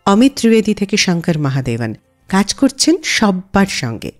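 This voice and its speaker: A woman's voice: mid-range voice, sing-song, moderate pace. A woman with an Indian Bengali accent presenting a scripted film music radio show as its host.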